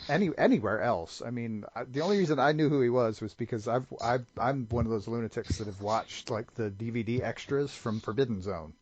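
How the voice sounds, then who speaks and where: A man's voice: medium pitch, a little fast, hardly any pauses, a little hiss or hum. Rambling man on a lo-fi podcast. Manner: rambling, repeats words when starting sentences. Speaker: podcast co-host. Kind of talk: casual movie discussion. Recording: dull lo-fi podcast audio.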